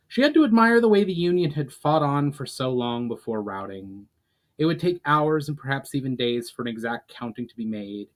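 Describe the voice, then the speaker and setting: Man reading fantasy fiction in measured narration. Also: medium pitch. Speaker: author reading own book. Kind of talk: dramatic audiobook-style narration of fantasy fiction.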